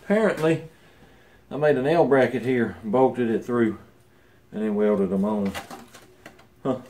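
A man's voice: medium pitch, a little slow, mellow. A middle-aged man in a large garage workshop, talking in an easygoing, wry way as he works on an old motorcycle and chats to the camera. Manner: easygoing, wry, talks to himself while working. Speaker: garage channel host. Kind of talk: casual repair commentary.

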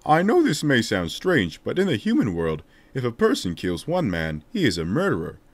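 A man's voice: medium pitch, wildly swooping pitch, normal speed, no echo. A man voicing a solemn, remorseful king in a declamatory, dramatic way for a video game fan dub. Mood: solemn, reflective.

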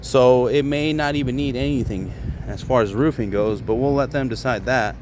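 A man's voice: medium pitch, normal speed, darkish tone. A man giving a casual, explanatory on-site walkthrough as a tradesman, in an informal, plain-spoken way. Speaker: HVAC contractor presenting a job. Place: outdoors on a commercial rooftop. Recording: outdoor handheld recording with ambient noise.

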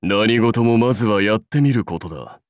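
An actor playing a proud, seasoned samurai warlord in a game, speaking in stately, measured, archaic phrases with wry authority. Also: male voice, on the low side, no room sound, clean studio sound, close to an everyday voice. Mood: resolute, solemn. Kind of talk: scripted game character lines.

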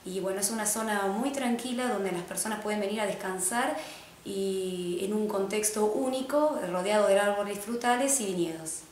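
Echoey middle-aged woman presenting. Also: mid-range voice. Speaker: inn manager. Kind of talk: interview presentation to camera. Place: indoor living room. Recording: echoey room with faint noise.

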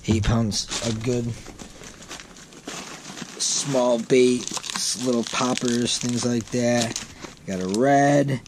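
A man's voice: medium pitch, fairly even intonation, drawn-out words, pauses often. Easygoing garage vlogger, man, 30s, talks off the cuff into a body cam with packaging noise all around. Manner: rambling, self-correcting, easygoing. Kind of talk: casual unboxing chat. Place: home garage, seated on a boat. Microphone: wearable action camera. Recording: packaging crackle over voice. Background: crinkling packaging.

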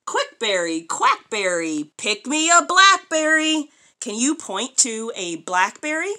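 Female picture-book reader, thirties: higher-pitched, very animated intonation, expressive child-directed delivery. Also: takes it slowly. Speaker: storyteller reading a children's picture book aloud. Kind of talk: read-aloud to a young child, with questions and commentary. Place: small furnished room indoors.

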